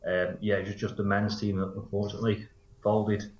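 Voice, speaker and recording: Lowish-voiced man in a roomy, dull recording. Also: little pitch movement, normal speed, darkish tone, a little hiss or hum. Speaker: football coach, interview guest. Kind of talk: casual radio interview answers. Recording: roomy, slightly dull radio interview sound.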